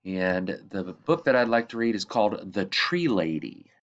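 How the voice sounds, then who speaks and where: A man reading a children's picture book aloud in measured phrases that drop softly at the ends of sentences. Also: lowish voice, expressive, dull audio. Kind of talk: read-aloud storybook narration.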